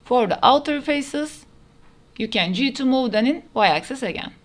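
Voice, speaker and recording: Higher-pitched woman narrating software steps. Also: animated, a little slow. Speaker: 3D modeling tutor. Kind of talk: step-by-step software tutorial narration. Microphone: voice-over over screen recording.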